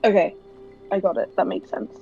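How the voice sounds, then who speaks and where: A woman's voice: medium pitch, normal speed, gravelly, mellow, muffled recording, soft background music. A woman with a mid-range voice takes part in a tabletop game as a player. She talks in a casual, chatty style.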